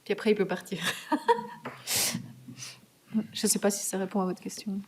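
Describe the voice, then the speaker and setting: Woman, guest author, hesitant, fillers and restarts, mid pitch, university talk. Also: flat delivery, moderate pace, darkish tone, slight background noise. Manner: hesitant, many fillers and restarts, laughs easily. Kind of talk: conversational Q&A answers. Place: university meeting room.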